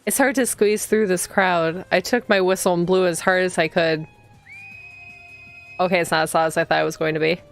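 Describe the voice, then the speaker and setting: A woman livestreaming a visual novel, reading the game text aloud and chatting casually as she thinks out loud and reacts to the story. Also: on the low side, fairly even intonation, brisk, creaky. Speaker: streamer playing a visual novel. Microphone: streaming microphone. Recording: background music behind the voice. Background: game music.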